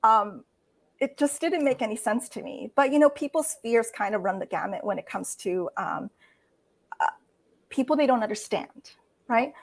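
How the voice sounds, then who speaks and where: A middle-aged woman speaking as a guest writer in a casual interview, earnest and halting, with many fillers and restarts. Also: a little high, animated. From United States.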